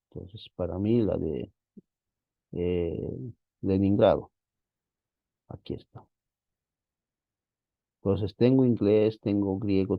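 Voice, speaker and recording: A man with a very low voice and a gravelly, creaky edge walks a class through software in a relaxed, thinking-aloud way. The sound is dry and close, a little muffled and lo-fi. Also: no room sound. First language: Spanish. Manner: casual, thinking aloud, frequent "¿no?" tags. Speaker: teacher. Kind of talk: screen-share software walkthrough.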